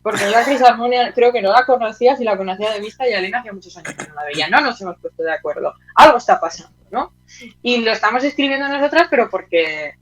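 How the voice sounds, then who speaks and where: A woman's voice: mid-range voice, muffled recording. A woman with a Spanish accent from Spain, talking casually and animatedly as an interview guest, often checking in with tag questions. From Teruel, Spain.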